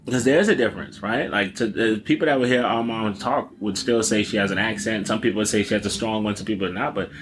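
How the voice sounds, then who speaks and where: A man's voice: on the low side, fast talker, hardly any pauses, fairly bright tone, a little hiss or hum. A young man hosting a podcast, asking his grandmother questions in a reflective, hesitant way. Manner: reflective, hesitant, many restarts and backchannels. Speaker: podcast host. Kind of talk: casual interview with his grandmother.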